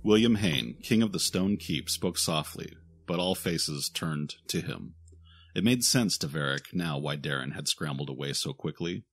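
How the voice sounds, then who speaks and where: A man narrating a scripted fantasy audio drama in a solemn, deliberate way. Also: a bass voice, expressive, slightly rough. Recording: faint music behind the voice.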